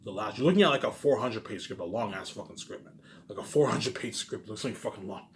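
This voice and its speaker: A man's voice: on the low side, animated, quick pace, slight background noise. A man giving a rambling, informal monologue in a boastful, repetitive, emphatic way, swearing now and then. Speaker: vlogger.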